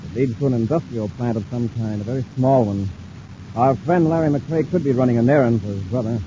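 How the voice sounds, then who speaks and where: An actor playing a commanding space patrol officer, formal and clipped, in the style of a 1950s radio adventure serial. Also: male voice, medium pitch, a little fast, lightly acted. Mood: brisk, commanding. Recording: muffled, noisy old radio broadcast recording.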